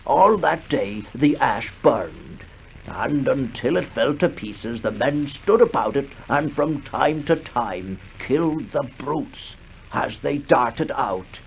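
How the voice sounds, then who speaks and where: A man acting a shaken, formal witness recounting horrors haltingly in a 1960s radio horror drama. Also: mid-range voice, expressive, creaky. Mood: grave, haunted. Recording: noisy 1960s radio recording.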